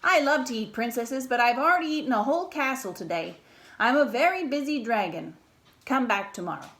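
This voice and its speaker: A woman voicing a boastful, self-important male dragon in a storybook, loud and brusque. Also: on the high side, animated, brisk, voice pushed up. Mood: dismissive.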